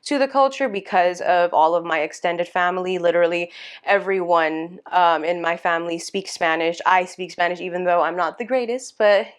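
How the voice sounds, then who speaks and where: A woman's voice: fairly low pitch, normal speed, clean tone. A woman around thirty from California's San Fernando Valley, talking casually and hesitantly as a podcast guest, with many fillers and small laughs. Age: about 30. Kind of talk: casual interview chat.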